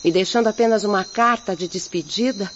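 A muffled radio drama recording with faint noise and night insects carries a gentle young peasant woman played by an actress. She has a Brazilian accent and speaks in an earnest, measured, consoling manner, like a devout spirit offering comfort. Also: medium pitch, close to an everyday voice. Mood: sorrowful. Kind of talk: Portuguese-language radio drama acting.

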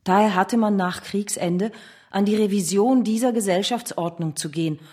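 An actress playing a steadfast socialist theorist in a German radio drama, firm, dry and didactic. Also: female voice, mid-range voice, moderate pace, darkish tone, lightly acted. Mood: firm, insistent. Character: steadfast female socialist theorist, cool, unyielding.

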